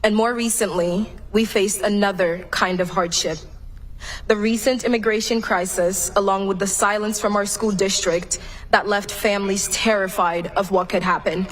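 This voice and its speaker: A young woman from Cameroon delivers her graduation speech as class president, reading it in an earnest, measured way. Her voice sits at a medium pitch, and her delivery stays formal and steady. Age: young adult. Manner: earnest, measured, read from a prepared text. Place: large graduation venue.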